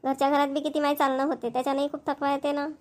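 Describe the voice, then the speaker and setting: Woman playing a high-voiced doting village grandmother. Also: flat delivery, racing, a little mellow, natural voice. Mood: homely, tired. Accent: rural Maharashtrian. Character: doting elderly village grandmother, gentle and talkative. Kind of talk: cartoon family dialogue.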